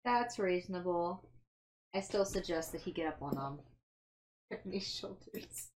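A woman's voice: on the low side, animated, normal speed, a little mellow, poor audio. A woman playing a tabletop role-playing game, chatting casually in a playful, rambling way.

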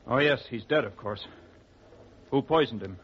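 An actor playing a brisk, matter-of-fact ship's surgeon in old radio drama style. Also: male voice, medium pitch, natural voice. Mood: brisk, grave. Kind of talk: 1940s radio acting. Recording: dull old radio recording with hiss.

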